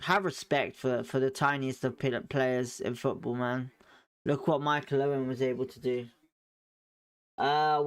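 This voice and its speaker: A man hosting a live fan watchalong at home, calling the play and chatting his opinions while hedging them. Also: medium pitch. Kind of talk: live fan commentary over a match.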